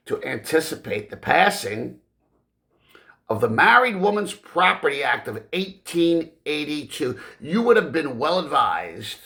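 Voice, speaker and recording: A man voicing a portly, pedantic Victorian gentleman lawyer, speaking in formal, wordy legalese with weighty pauses. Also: medium pitch, full character voice.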